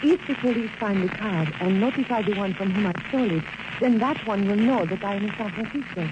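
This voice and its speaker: A woman's voice: mid-range voice, a little fast, natural voice. Seductive Mexican-accented femme fatale, played by a woman. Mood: coy, guarded. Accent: Mexican Spanish. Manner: coy, theatrical, formal phrasing. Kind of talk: 1940s radio drama acting. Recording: dull, noisy old-time radio recording.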